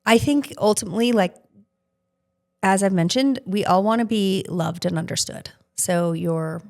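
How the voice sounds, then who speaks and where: A woman's voice: medium pitch, normal speed, gravelly. A woman co-hosting a podcast, speaking casually and reflectively in an open, earnest manner. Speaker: podcast co-host answering questions.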